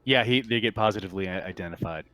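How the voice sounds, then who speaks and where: A man's voice: fairly low pitch, fast talker, pushed and bright, smooth. A man acting as game master, narrating a tabletop roleplaying game and talking with the players.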